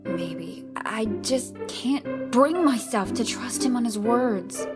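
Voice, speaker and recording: A woman's voice: a little high, expressive, takes it slowly. A woman playing a stubborn, vengeful young female fighter in an audio drama. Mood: bitter, doubtful. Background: loud background music.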